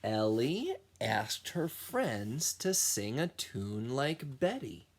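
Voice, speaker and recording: A man reading a picture book aloud to young children as their music teacher, expressively and with dramatic pauses. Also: mid-range voice, sing-song.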